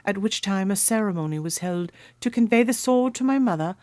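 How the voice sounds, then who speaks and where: A woman's voice: medium pitch, lively intonation, fairly quick, darkish tone, no room sound, close to an everyday voice. A woman playing a solemn, courtly noblewoman of about thirty in a radio drama, speaking in formal, archaic phrasing. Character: solemn, courtly 31-year-old noblewoman guarding a royal sword.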